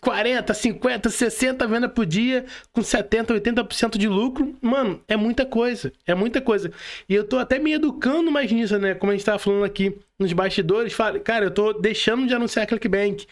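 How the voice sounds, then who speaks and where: A Brazilian man with a notably high-pitched voice talks casually as on a podcast. He explains things in slangy, emphatic speech, restating words as he goes. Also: brisk. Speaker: podcast guest, affiliate marketing mentor.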